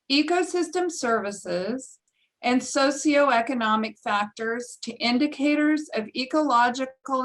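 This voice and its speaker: A woman's voice: on the high side, a bit bright, rough recording. Woman introducing a seminar speaker. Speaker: seminar host.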